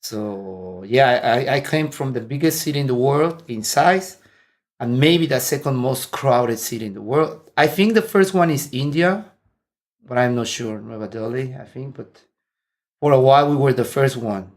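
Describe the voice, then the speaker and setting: A man's voice: medium pitch, animated, moderate pace. A man in his forties from Mexico, with a Mexican Spanish accent, chatting as an interview guest, laughing often and restarting his sentences. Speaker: interview guest, martial arts instructor. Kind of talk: casual interview chat. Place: indoor room, seated.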